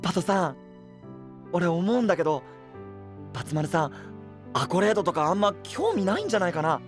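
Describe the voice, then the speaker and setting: High, boyish young knight. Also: male voice, big pitch swings, fairly quick, faint music behind. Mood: reassuring. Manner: casual, direct boyish speech. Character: boyish, frank, upbeat young male knight. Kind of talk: anime-style voice drama acting.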